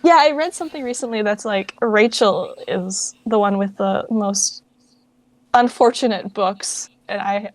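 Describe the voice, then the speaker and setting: A woman's voice: medium pitch, a little slow, no room sound. Woman chatting casually, dry close sound. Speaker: interview guest. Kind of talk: casual chat.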